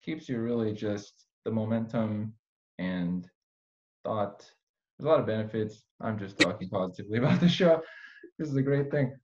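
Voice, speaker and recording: A man's voice: on the low side, moderate pace, heavy background noise. A man hosting a casual conversational podcast, rambling with playful, mock-serious asides. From Iran. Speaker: podcast host. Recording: dull, lo-fi podcast audio.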